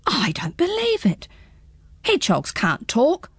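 A woman's voice: sits high, wildly swooping pitch, takes it slowly, dry recording, voice pushed up, heavily acted. A woman voicing a polite, nervous nine-year-old boy in a storybook radio reading, with a startled, stammering manner.

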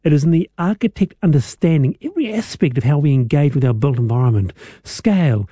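A New man hosts a radio show in an upbeat, teasing way and reads out listener messages. His voice is a little high and mellow, and it sounds dry and close. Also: animated, fast talker, breathy edge, dry recording. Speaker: radio host. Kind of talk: breezy radio hosting.

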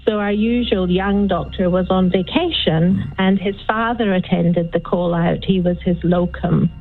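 An older Scottish woman calling in to a radio show, telling a story in a chatty, unhurried way with plenty of ums. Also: on the low side. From Scotland. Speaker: caller. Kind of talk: phone-in storytelling. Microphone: phone. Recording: tinny phone line with faint music.

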